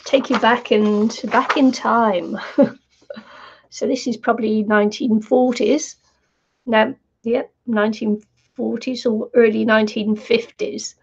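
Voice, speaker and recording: An older woman hosting a crafting live stream from home, croaky from not talking, chatty with lots of fillers and laughs. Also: on the high side, steady tone, slow. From New Zealand. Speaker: crafting channel host. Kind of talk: casual live stream chat. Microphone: computer mic on live stream. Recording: dull lo-fi stream audio.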